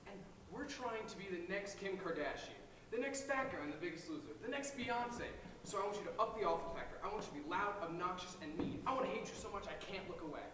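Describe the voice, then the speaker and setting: A man's voice: high-pitched, lively intonation, fast, few pauses. A man playing a pushy, cynical reality film director in a live stage comedy, bossy and impatient. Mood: bossy, hyped. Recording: echoey hall, distant mic, noisy.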